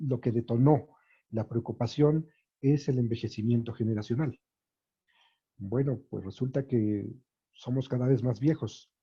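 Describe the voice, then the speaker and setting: Dark, husky lecturer. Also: male voice, mid-range voice, lo-fi sound. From Mexico. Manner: thinking aloud with many fillers and restarts.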